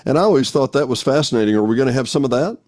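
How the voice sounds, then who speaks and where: A man's voice: mid-range voice, lively intonation, very fast, darkish tone. A man hosting an interview in a casual, conversational style. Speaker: interview host. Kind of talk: casual chat.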